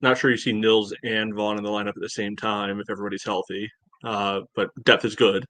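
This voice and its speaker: Male sports podcast co-host, slightly low pitch, measured conversational analysis, very dry recording. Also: little pitch movement, a bit bright, dry recording. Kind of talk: casual sports analysis chat.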